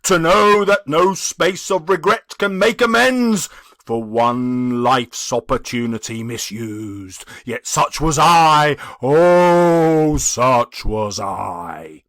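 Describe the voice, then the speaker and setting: Man voicing an anguished, booming ghost, slow and drawn-out. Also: very animated, fairly bright tone, no room sound, clean studio sound, full character voice. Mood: anguished, remorseful. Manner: declamatory, mournful, drawn-out delivery. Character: anguished ghost of a dead businessman, tormented and booming. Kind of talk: dramatic audiobook character reading.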